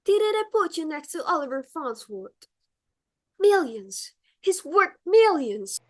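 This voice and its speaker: Society hostess played by a woman, middle-aged, high voice, determined, fussy, exclamatory stage-play delivery. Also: sing-song, slow, exaggerated voice. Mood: pushy. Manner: dramatic, bossy, exclamatory.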